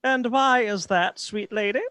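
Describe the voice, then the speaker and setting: An actor playing a playful, courtly minstrel who feigns innocence in a radio play. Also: male voice, sits high, a little slow, pushed and bright, clear, resonant tone, no room sound. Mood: sly. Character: sly courteous male minstrel, an outlaw in disguise.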